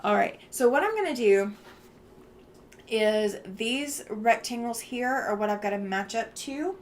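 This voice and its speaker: Tired chatty Southern woman, slow talker. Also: fairly high pitch, animated, slight background noise. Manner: chatty, laughs often, thinks aloud with fillers. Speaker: quilting livestream host. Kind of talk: casual chat while quilting.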